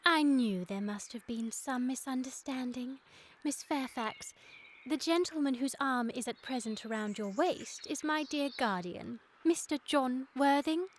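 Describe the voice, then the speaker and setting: A sweet but prim young Victorian lady, easily indignant. Her voice is very high, with theatrical, careful diction suited to a radio comedy. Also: measured pace. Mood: prim, suspicious. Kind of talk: scripted radio comedy acting.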